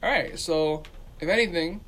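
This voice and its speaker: A man with a voice a little higher than most talks in a casual, opinionated way. He is emphatic and repeats points to drive them home. There is some noise in the background. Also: normal speed, a bit bright, poor audio. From Dominican community.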